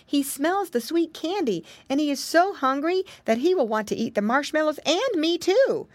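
A woman's voice: high voice, big pitch swings, normal speed, no echo, pitched above the performer's own voice. A woman voicing a fretful, chatty little storybook girl with a plaintive, exclamatory way of talking. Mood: anxious chatty.

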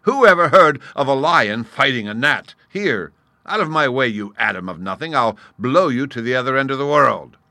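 A man voicing a proud, quick-tempered lion king in a bombastic, dramatic storybook manner. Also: medium pitch, lively intonation, bright, forceful tone, no room sound, studio quality, pitched above the performer's own voice. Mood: scornful. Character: proud, blustering, furious great lion king. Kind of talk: animated children's storybook voice.

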